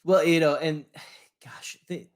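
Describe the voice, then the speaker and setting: A man co-hosting a podcast in casual fan discussion, talking in a rambling, halting way and often rephrasing mid-sentence. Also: fairly high pitch, fairly even intonation. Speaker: podcast co-host.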